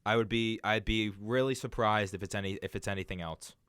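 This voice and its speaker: A young man with a fairly low voice chats about sports as a radio co-host. The sound is dry and close, with a casual conversational delivery. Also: fairly even intonation, brisk, a bit bright, clean tone, dry recording. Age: young adult.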